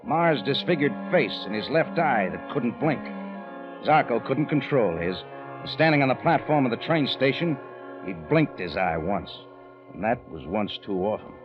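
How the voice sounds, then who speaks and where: Man acting tough wry detective hero, American, clipped slangy delivery, animated pitch, muffled old-time radio sound. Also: moderate pace, lightly acted. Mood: confident, wry. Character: tough, wry American private adventurer recounting his own case. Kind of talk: 1940s-50s radio detective acting with first-person narration. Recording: dull old radio recording with music.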